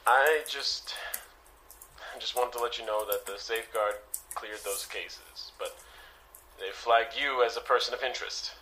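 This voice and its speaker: Man playing a jittery young superhero intern, stammering and bright-voiced. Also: mid-range voice, a little hiss or hum, poor audio. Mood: awkward concern. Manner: stammering, halting. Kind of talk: audio drama dialogue.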